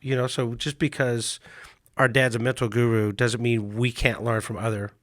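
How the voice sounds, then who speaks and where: A male coach with a hoarse voice from a cold, telling stories in a relaxed chat with frequent restarts. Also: mid-range voice, normal speed, no echo, clean studio sound. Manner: relaxed storytelling with many restarts. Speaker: mental management coach. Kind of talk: casual podcast chat.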